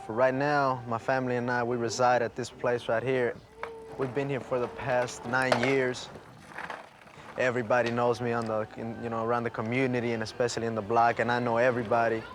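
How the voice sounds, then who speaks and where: A man's voice: medium pitch, flat delivery, a little fast, over music. Casual man from El Salvador. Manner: casual, with many fillers. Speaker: neighborhood resident. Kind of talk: documentary interview.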